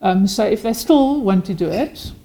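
Middle-aged woman with a mellow voice. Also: mid-range voice, expressive, slight background noise, poor audio. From South Africa. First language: Afrikaans. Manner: measured, explanatory, agreeable. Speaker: forensic pathologist guest. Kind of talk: podcast interview.

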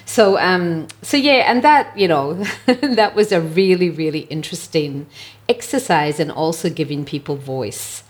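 A woman's voice: lowish voice, a little slow, hardly any pauses. A middle-aged or older woman with an Irish accent, telling her own story in a conversational, reflective way as a podcast guest. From Ireland. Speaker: podcast guest, mental health advocate. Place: at home, indoors.

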